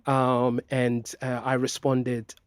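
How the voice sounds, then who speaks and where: A man's voice: medium pitch, monotone, slow pace, dry recording. A middle-aged man who grew up in Nigeria, speaking as an interview guest in a hesitant way with many fillers and restarts. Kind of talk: personal interview.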